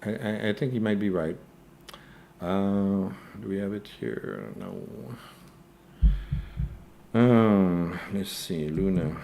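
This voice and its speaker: A man with a French accent hosts a live stream and answers viewer questions as they come in. His voice sits fairly low, and he speaks slowly and unhurriedly. He hesitates often and keeps checking in with "okay?". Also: animated, creaky, dark tone, slight background noise. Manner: hesitant, frequent "uh" and tag "okay?". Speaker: crypto news host.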